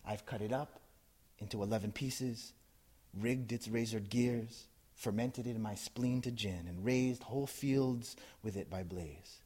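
A man from New Jersey who works as a poet. He gives an intense, measured reading of his poems and then talks casually with a live audience, laughing as he goes. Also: fairly low pitch, dark tone. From New Jersey, USA. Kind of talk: poetry reading and audience talk. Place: live event in front of an audience.

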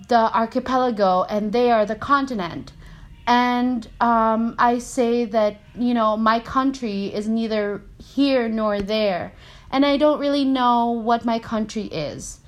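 A woman in her thirties from the Philippines with a Filipino-American accent, speaking thoughtfully with many fillers and pauses as a poet. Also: fairly high pitch. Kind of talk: casual spoken reflection.

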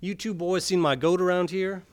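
A man voicing a gruff rural farmer looking for his goat, talking in a drawling, folksy way. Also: high-pitched, fairly even intonation, normal speed, voice pushed up, close to an everyday voice. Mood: puzzled. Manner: drawling, folksy country talk.

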